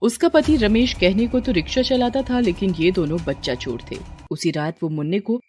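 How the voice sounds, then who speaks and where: A woman's voice: medium pitch, moderate pace, a little mellow, faint music behind. A woman narrating a scripted horror story in a dramatic storytelling style.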